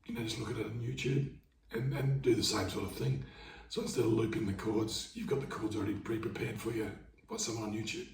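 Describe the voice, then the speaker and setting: Hoarse man explaining guitar, laid-back. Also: on the low side, fairly even intonation, quick pace, few pauses, far from the mic, crowd noise. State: hoarse, losing his voice. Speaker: market busker teaching guitar. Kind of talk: casual instructional talk. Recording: roomy sound, away from the mic, guitar playing behind.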